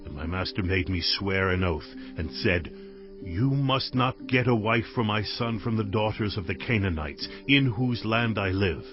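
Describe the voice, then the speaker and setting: An actor playing a devout, earnest male servant on a mission in a dramatized audio Bible, speaking in a solemn, measured way. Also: on the low side, lively intonation, natural voice. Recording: dull lo-fi audio with music behind.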